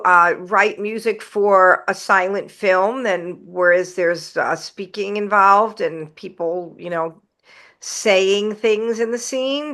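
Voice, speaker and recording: A woman's voice: medium pitch, a bit bright. A woman hosting an interview show, enthusiastic and chatty, often laughing as she asks questions. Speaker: podcast host. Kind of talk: casual interview chat.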